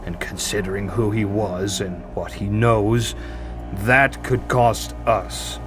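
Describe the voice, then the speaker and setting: A man as slow, low, grizzled old killer god. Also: steady tone, music in the background. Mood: stern, measured. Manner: terse, measured, ominous. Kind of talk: audio drama acting.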